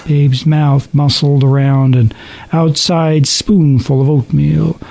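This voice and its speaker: A man's voice: a little high, little pitch movement, slow, creaky, dark tone, slight background noise. A man narrating a radio play as scripted, dramatic storytelling. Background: background music.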